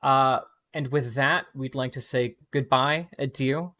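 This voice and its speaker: A man's voice: medium pitch, fairly even intonation, unhurried, bright, forceful tone. A young man from Canada with a Canadian accent, hosting a casual livestream Q&A from home over a video call in an upbeat, chatty way. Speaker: host reading community questions. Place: home room with gaming chair.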